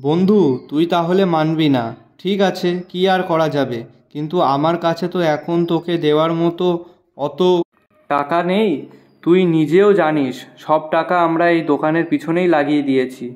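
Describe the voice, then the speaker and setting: A man voicing an honest, gentle shopkeeper who pleads with his friend in a moral-story cartoon. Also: fairly high pitch, steady tone, normal speed, close to an everyday voice. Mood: pleading. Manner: earnest, pleading, lamenting.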